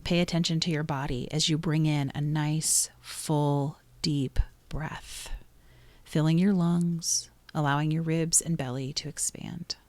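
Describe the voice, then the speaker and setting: Low-pitched woman guiding a meditation. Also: fairly even intonation, no echo. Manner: soothing, gently instructive, pausing between short phrases. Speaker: meditation guide. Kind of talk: guided meditation narration.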